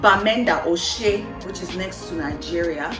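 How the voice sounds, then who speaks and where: A woman's voice: fairly low pitch, unhurried, music in the background. Bubbly Cameroonian woman in an echoey room. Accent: Cameroonian English, shifting toward British. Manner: bubbly, laughs often, playful asides. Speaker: vlogger and voiceover artist. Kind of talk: casual chat to camera.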